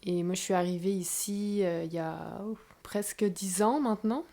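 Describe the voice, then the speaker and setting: A woman from France with a Parisian accent, in her forties, talking about her life as a guest dancer and choreographer in a relaxed, thoughtful way. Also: mid-range voice, moderate pace, gravelly. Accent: Parisian French. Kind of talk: interview conversation.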